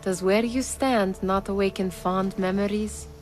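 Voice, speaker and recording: Actress doing wry young thief girl, medium pitch, sarcastic n blunt, music under it. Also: steady tone, unhurried, close to an everyday voice. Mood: exasperated, practical. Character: sardonic, blunt young female street thief. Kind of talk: video game drama acting.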